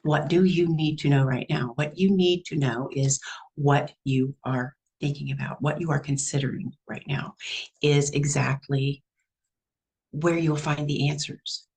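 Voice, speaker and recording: Gravelly, soothing female spirit voiced by a Texan woman, slow and reassuring. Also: low voice, fairly even intonation, a little mellow. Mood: calm, guiding. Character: calm guiding female spirit. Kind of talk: channeled spirit message.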